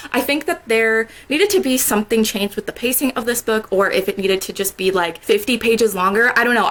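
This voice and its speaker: A woman's voice: medium pitch, fairly bright tone. A young woman talking casually about a book she finished, filling her talk with "like" and laughing often; she sounds a little congested. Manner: chatty, lots of "like" and "I don't know", laughs often. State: congested after a sore throat. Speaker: book vlogger. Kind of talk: casual book review vlog.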